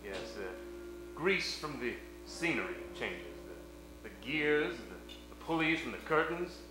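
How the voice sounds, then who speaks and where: A man's voice: fairly high pitch, big pitch swings, unhurried, pushed and bright, slight background noise, full character voice. A man acting a scheming, lovelorn foreign villain in a comedic radio play, with a pompous, theatrical manner and a foreign accent. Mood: flustered, evasive. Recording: roomy live stage sound, faint music.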